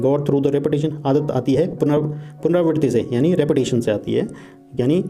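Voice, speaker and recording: A man teaching, reading English lines slowly and explaining each point in Hindi, often asking and answering his own questions. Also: on the high side, warm tone. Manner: reads English quotes slowly and deliberately.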